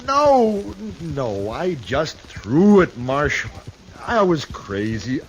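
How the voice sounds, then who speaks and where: An actor playing a gentle, simple-minded horse rancher, halting and pleading, in an old radio western. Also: male voice, fairly high pitch, wildly swooping pitch, heavily acted. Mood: distraught. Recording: dull, noisy old radio recording.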